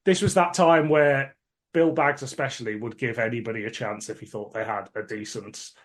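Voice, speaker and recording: A man's voice: medium pitch, fairly even intonation, normal speed. A man hosting a podcast, giving background in a casual, informative and explanatory way. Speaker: podcast host. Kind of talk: casual, informative review chat.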